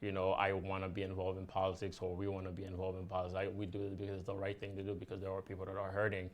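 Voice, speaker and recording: A man's voice: sits low, completely flat delivery, rapid-fire. A man from Haiti, a guest on a talk show, speaking earnestly with many ums. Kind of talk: casual chat.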